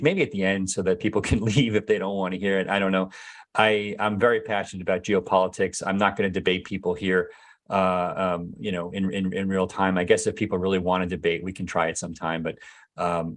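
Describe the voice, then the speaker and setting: A man, a company executive, answering viewer questions in a casual live Q&A, hesitant with many fillers and restarts, his voice going hoarse. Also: low voice. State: losing his voice.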